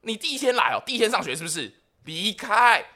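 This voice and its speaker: Male actor as suspicious school disciplinarian, Taiwanese accent, bright forceful tone, highly animated comic drama. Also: quick pace, heavily acted. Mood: frantic, barking. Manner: barking orders, suspicious, muttering asides. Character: bossy, nosy male school disciplinary director. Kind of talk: comic radio play.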